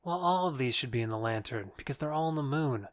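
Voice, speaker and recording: An actor playing a joking courtier who heckles with teasing quips in a comic audio drama. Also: male voice, medium pitch, lively intonation, fast talker, close to an everyday voice. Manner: teasing, quipping. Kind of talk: modernized Shakespeare audio drama comedy.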